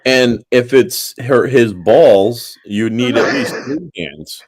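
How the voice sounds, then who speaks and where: A man's voice: medium pitch, slow pace, husky, no room sound. A man cracking crude, wry jokes during an online voice-chat panel. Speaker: panel participant. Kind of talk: joking online voice-chat banter.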